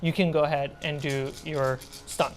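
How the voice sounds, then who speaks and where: A woman's voice: low voice, steady tone, moderate pace. A woman acting as game master, narrating a tabletop role-playing game in a casual, conversational way. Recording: music behind the voice. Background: music.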